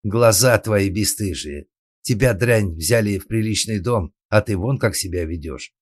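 A man's voice: low voice, animated, moderate pace, no room sound, clean studio sound. A man voicing a jealous middle-aged wife in a comic audiobook, shrieking, hissing and scolding. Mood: shrieking rage. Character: shrill jealous middle-aged wife, furious mistress of the house.

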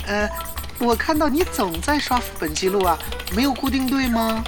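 A woman plays a young gamer with a high, very lively voice. The character is eager and ingratiating, easily exasperated, in an audio drama with music behind. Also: moderate pace, natural voice. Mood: polite. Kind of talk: Chinese audio drama acting.

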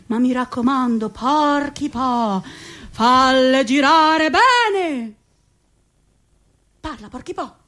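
A woman's voice: sits high, animated, takes it slowly, pauses often, a bit bright, heavily acted. A woman playing a spiteful witch in comic theatre, declaiming in exaggerated rhyme. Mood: commanding. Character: spiteful, cackling witch who threatens and grants wishes. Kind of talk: theatrical comic stage acting.

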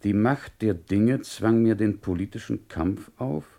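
An actor in a German radio play voicing a courteous, grave gentleman reading a letter in a measured, formal way. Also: lowish voice, creaky.

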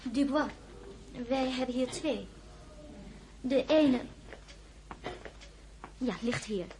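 A brisk military hospital nurse, practical and matter-of-fact. Her voice is fairly high. The period radio drama recording has clear noise behind it. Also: a little mellow, poor audio.

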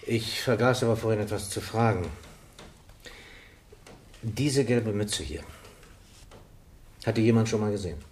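An actor playing a formal, probing police inspector in a radio drama, official and deliberate. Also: male voice, on the low side, a little mellow, slight background noise, close to an everyday voice.

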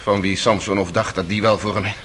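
A man's voice: fairly low pitch, fairly even intonation, fairly quick, fairly bright tone, lightly acted. An actor playing an impulsive, embittered man on trial for murder in a radio courtroom drama. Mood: rueful. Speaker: defendant. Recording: noisy old radio play recording.

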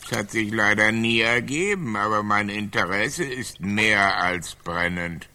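An actor playing a reluctant, decrepit old knight in a radio play, grumbling and naively questioning. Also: male voice, lowish voice, a little slow, pushed and bright, exaggerated voice. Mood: curious, naive. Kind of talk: German radio play acting.

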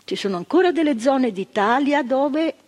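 An older actress, playing a retired psychiatric worker in a stage monologue, talking emphatically with repetitions and asides to the listener. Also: female voice, high-pitched, expressive, normal speed, darkish tone. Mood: fervent. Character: retired female psychiatric worker, proud, impassioned, reflective. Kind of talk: theatre monologue. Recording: stage recording with long reverb.

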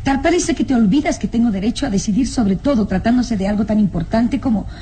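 An actress playing a defiant young aristocratic daughter in a radio melodrama, plaintive and pleading. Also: female voice, on the high side, fast, mellow, a little hiss or hum, close to an everyday voice. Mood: protesting. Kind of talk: old-time Spanish-language radio drama acting.

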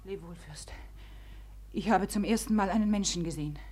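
A woman's voice: medium pitch, normal speed, a little mellow, husky, lightly acted. A woman acts out a reckless young society beauty in a 1950s radio play. She is proud and wounded, speaking theatrically in a mid-range female voice that can command a room or sink into bitter resignation. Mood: tender, resigned. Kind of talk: 1950s German radio drama acting.

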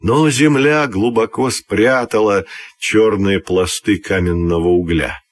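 A man narrating a scripted fairy tale for children's radio, telling it expressively and deliberately. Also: lowish voice, no room sound. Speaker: storyteller.

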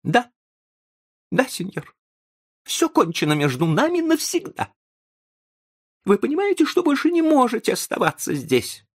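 Man acting a high-pitched, desperate young man. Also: huge pitch swings, measured pace, gravelly, exaggerated voice. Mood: agitated, insistent. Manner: pleading, exclamatory outbursts. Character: distraught young man, bitterly agitated and desperate.

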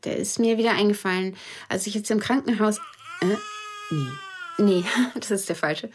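An actress playing a flustered, apologetic woman who rambles haltingly in a naturalistic radio drama. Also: mid-range voice, slight background noise, lightly acted. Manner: scattered, halting, embarrassed.

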